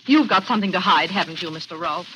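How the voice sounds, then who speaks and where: A woman's voice: fairly low pitch, expressive, quick pace, bright, forceful tone. An actress playing a frightened but determined young woman in an old radio thriller, stammering nervously with breathless urgency. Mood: nervous, accusing. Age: young adult. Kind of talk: 1940s radio thriller acting. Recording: muffled, hissy old radio recording.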